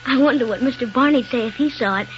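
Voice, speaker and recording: A woman's voice: a little high, quick pace, a bit bright, lightly acted. A woman playing a plucky injured young boy in a 1930s radio serial, on a muffled, noisy old broadcast. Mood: eager, chatty.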